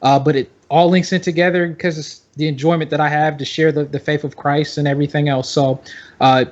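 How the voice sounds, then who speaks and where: A man's voice: fairly high pitch, little pitch movement, brisk, a little mellow, dull audio. A man co-hosting a fantasy basketball podcast in casual banter, rambling and emphatic, often repeating himself for effect. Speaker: podcast co-host.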